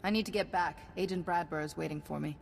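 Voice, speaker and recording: Heroína Jedi segura. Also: female voice, on the low side, quick pace, vocal fry, a little hiss or hum. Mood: steady. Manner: calm, self-assured. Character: confident female Jedi hero. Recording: game audio with long reverb.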